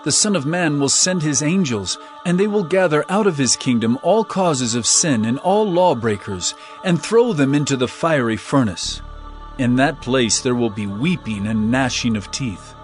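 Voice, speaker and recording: An actor playing an earnest male teacher of parables in a dramatized scripture reading, with measured, emphatic, declamatory phrasing. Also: mid-range voice, lively intonation, soft background music, close to an everyday voice. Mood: solemn, explanatory.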